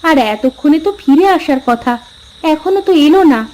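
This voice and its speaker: A woman's voice: high-pitched, lively intonation, a little fast, darkish tone. A woman playing a patient, faithful village mother in a cartoon fairy tale, with a homely, reassuring manner. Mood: concerned.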